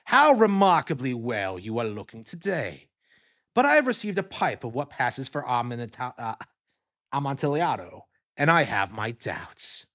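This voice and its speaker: A man voicing a courteous, scheming nobleman in a storybook tale, coaxing with polite, deliberate concern. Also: mid-range voice, sing-song, fairly bright tone, muffled recording. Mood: courteous, doubtful.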